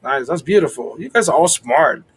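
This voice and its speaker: A man's voice: fairly high pitch, lively intonation, normal speed, crowd noise. A man hosting a casual video-call interview, chatty and upbeat with self-deprecating jokes. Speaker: host.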